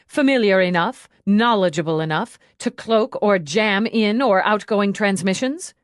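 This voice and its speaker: A woman's voice: mid-range voice, a little slow, dry recording, studio quality, lightly acted. A woman voicing a hard, relentless female police lieutenant in an audiobook, pressing a suspect with confrontational questions. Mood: probing. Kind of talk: audiobook character dialogue.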